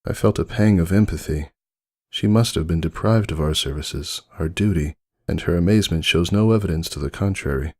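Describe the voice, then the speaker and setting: A man voicing a wistful, devoted old stitched toy, narrating a dramatic fan fiction story in an earnest, measured way. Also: low voice, darkish tone. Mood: hopeful, tender. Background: music under the voice.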